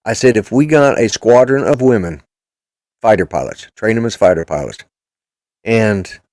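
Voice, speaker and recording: A man's voice: lowish voice, normal speed, a little mellow, dry recording, clean studio sound. An older man hosting a casual political chat show, talking in a blunt, rambling, opinionated way. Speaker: podcast host.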